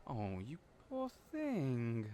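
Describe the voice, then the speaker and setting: A man's voice: mid-range voice, wildly swooping pitch, very slow, dark tone, voice pushed up, full character voice. A man voicing a flamboyant, fussy male hairstylist who teases and mothers his clients in an audio roleplay. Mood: teasing.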